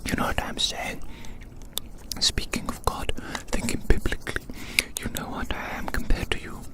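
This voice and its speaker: An ASMR performer whispering breathily as a gloating giant toying with tiny prey, savouring and repeating phrases with playful menace. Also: normal speed. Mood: domineering, gloating.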